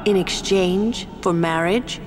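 An actress playing a cool, stern, composed young sorceress in a video game, measured and formal. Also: female voice, on the low side, over music. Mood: cool, grave.

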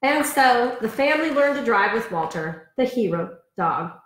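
Higher-voiced woman reading to children, roomy echo. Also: normal speed. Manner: expressive storytelling with chatty asides. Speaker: elementary school storyteller. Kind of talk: read-aloud storytime for children. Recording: roomy, echoing sound.

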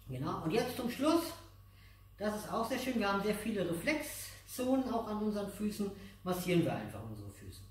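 A man's voice: high voice, very animated, a little fast. A middle-aged man teaching exercises step by step in simple, repeated phrases, patient and encouraging. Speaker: aikido instructor. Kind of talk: hands-on exercise instruction to a child and viewers. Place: large dojo room with mats, indoors. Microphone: distant camera microphone. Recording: echoey hall, far from the mic.

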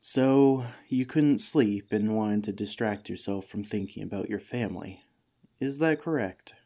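A man playing a kindly, patient head teacher in a gentle, soothing ASMR comfort roleplay. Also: lowish voice, unhurried. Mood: tender, comforting.

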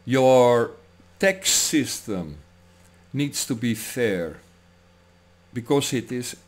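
Slow-talking Dutch-accented man in his sixties. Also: medium pitch, lively intonation. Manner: digressive, tags lines with "huh?", laughs between thoughts. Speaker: political commentary vlogger. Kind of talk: rambling monologue. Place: home room, indoors. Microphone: webcam at desk.

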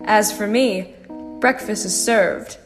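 A woman's voice: mid-range voice, slow, faint music behind, close to an everyday voice. A woman playing a bossy, sardonic character who gives commands theatrically in an audio drama. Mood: breezy, sardonic. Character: bossy, sardonic woman ordering a pet Dalek around.

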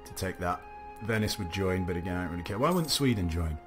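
Deep-voiced man musing over gameplay. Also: expressive, fast. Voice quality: slightly gravelly. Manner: musing aloud, chatty, self-talking. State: recovering from a cough, slight gravel. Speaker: let's play commentator. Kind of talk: casual gameplay commentary. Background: faint game music.

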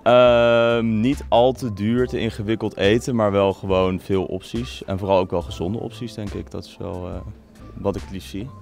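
A young man, a student, answering interview questions casually in a university canteen, often trailing off quietly. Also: on the low side, fairly even intonation, moderate pace, vocal fry, hardly any pauses, faint music behind. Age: young adult. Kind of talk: vox-pop answers. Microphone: handheld microphone.

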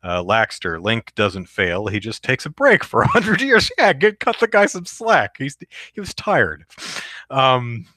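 Man, deep voice, bright tone, dry close sound, casual rambling host. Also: big pitch swings, unhurried, no echo. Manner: rambling, lots of fillers and restarts. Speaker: podcast host reading chat. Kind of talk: casual live-stream chat.

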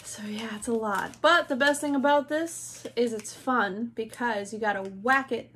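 An enthusiastic woman in her thirties from the United States, with a fairly high voice, chats about snacks while eating them. She is recorded on a camera mic in an indoor room, with a faint hiss underneath. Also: measured pace, a bit bright. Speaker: snack review co-host. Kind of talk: casual snack tasting chat. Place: indoor room before a glitter backdrop.

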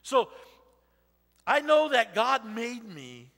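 A man in his forties preaching an informal sermon in a church, talking loudly and animatedly while he walks among the audience. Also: very high voice, takes it slowly. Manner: loud and animated, walking the stage and aisles. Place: church sanctuary with a stage. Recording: long reverb of a church hall.

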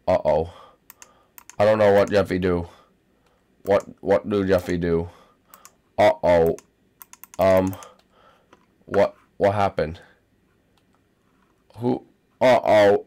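A man's voice: fairly low pitch, voice pushed down, heavily acted. A man voicing a babyish, whiny young boy character in broken baby talk while playing a game. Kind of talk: gameplay commentary in character.

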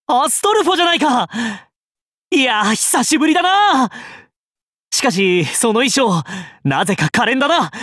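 A boisterous, goofy young knight from a video game. He is comically earnest and exclamatory, swinging widely in pitch on a dry recording. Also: pushed and bright, no echo, heavily acted. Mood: excited. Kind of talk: scripted game character dialogue.

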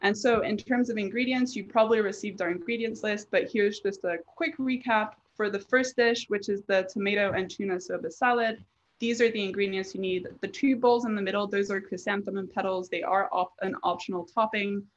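A woman's voice: medium pitch, fairly even intonation, a little fast. A woman presenter explaining things in an informal, conversational way during an online slide presentation. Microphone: online video presentation. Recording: dull, lo-fi online-call sound.

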